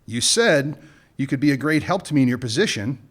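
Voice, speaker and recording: A man acting a smooth-talking gun runner in a radio play, wry and bargaining, with dry jokes. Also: medium pitch, expressive, fairly quick, natural voice. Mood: scheming.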